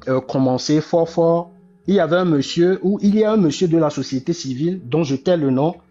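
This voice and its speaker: A man's voice: high-pitched, darkish tone, dull audio. A man, making impassioned political commentary and repeating key phrases with many restarts. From Togo. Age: 40s. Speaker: commentator on a live discussion.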